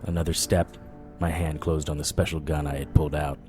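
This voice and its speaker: A man's voice: extremely low pitch, little pitch movement, fairly quick, a little mellow, soft background music. A man playing a haunted, dogged supernatural investigator, telling his story in first person in a hard-boiled audio drama style. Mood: grim, narrating.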